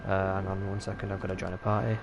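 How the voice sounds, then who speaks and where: A man's voice: deep voice, flat delivery, fast, dull audio, crowd noise. A man with a Yorkshire accent giving rambling, casual commentary while streaming a game, swearing freely. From Yorkshire, England. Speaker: gaming streamer. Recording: clear game noise behind voice.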